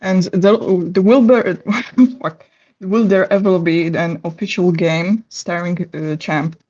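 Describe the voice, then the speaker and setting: A woman's voice: fairly low pitch, steady tone, takes it slowly, warm tone. Girl asking a question, kinda giggly, muffled lo-fi sound.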